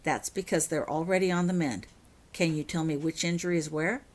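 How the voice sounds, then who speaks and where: A woman voicing a brisk, kindly, matter-of-fact healer at a school in a storybook reading. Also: low voice, fairly even intonation. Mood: brisk, caring.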